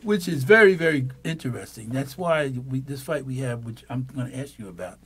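A man's voice: mid-range voice, expressive, brisk, a bit bright, slightly rough. An older man hosting a radio talk show from a small studio, musing at length into a studio mic. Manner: musing, speechifying. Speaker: radio host. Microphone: studio mic on boom.

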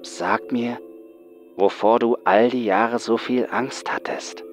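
A man puts on a patient, soft-spoken psychiatrist for a horror story. He is measured and coaxing, very slow in his delivery, with music behind him. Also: medium pitch, fairly even intonation, voice pushed up, natural voice. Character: calm, patient male psychiatrist, older than his patient. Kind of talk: horror audiobook acting.

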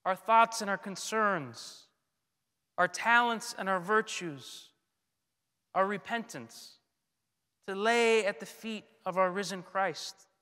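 A man in his thirties, a priest, delivering a scripted sermon in a solemn, deliberate manner with frequent pauses. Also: sits high, pushed and bright, smooth. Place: large church, indoors at a pulpit. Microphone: gooseneck pulpit microphone. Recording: long church reverb.

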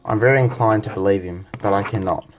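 An actor playing a weary, embittered special agent in a modern radio play, blunt and exasperated. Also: male voice, lowish voice, quick pace, background noise, close to an everyday voice. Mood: weary, shaken.

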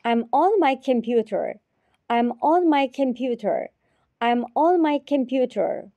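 A woman teacher repeating short phrases slowly and carefully in a repetition drill. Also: high-pitched, lively intonation, warm tone, no echo. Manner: repeats each phrase three times, carefully.

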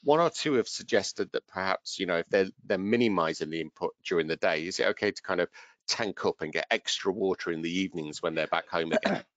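Deep-voiced man hosting a webinar. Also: a bit bright, dry recording. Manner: friendly, relays questions, slightly halting. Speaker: webinar host. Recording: dull dry video call audio.

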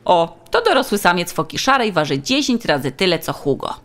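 A helpful female tour guide in a children's audiobook, played by a woman, with a slightly low and animated voice. She explains things politely and informatively. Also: a little fast.

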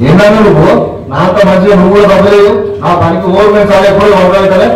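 A man's voice: sits high, fairly even intonation, fast, crowd noise, natural voice. A man playing an impatient, careless young coal miner in a colloquial stage play, speaking hastily. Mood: impatient, grumbling. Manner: hasty, grumbling. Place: open-air stage, distant camera. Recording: echoey stage, far from the mic.

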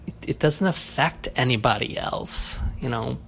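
A man speaks casually and earnestly, as if leaving a voicemail for a show. His delivery is hesitant, his voice gravelly, with faint ambient noise. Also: mid-range voice, measured pace, fairly bright tone. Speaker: listener calling in with feedback.

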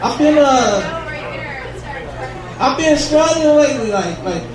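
A man's voice: very high voice, animated, takes it slowly, over loud music, over a noisy crowd. A man in his thirties doing a casual, profane stand-up set at an open mic in a bar. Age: 30s. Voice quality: casual, profane, drawling delivery. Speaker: stand-up comedian. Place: bar comedy room. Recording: echoey bar room, distant and noisy. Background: audience ambience.

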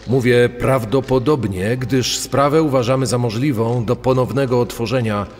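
A solemn male spokesman played by an actor makes a formal statement in a true-crime drama. His measured, mid-pitched voice rings with long reverb. Faint music sits behind it. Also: a little mellow, natural voice. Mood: grave, formal. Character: official spokesman solemnly announcing a search's failure.

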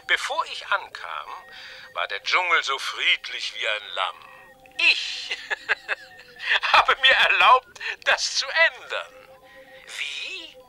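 A mad scientist villain from a science-fiction radio play. He is very bright and sharp, slow and hugely animated, gloating with theatrical politeness and sneering menace. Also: on the high side, faint music behind, heavily acted, rough recording. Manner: theatrical, mock-polite, sneering, with drawn-out words. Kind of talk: 1980s science-fiction audio drama acting.

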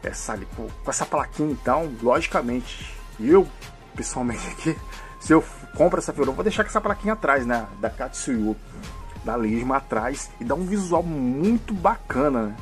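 A man's voice: mid-range voice, expressive, moderate pace, soft background music. Chatty man with a mixed Brazilian accent. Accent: mixed São Paulo and Rio de Janeiro Brazilian Portuguese. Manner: chatty, fills with "né?" and "hein?", enthusiastic. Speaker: toy reviewer. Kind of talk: casual unboxing review.

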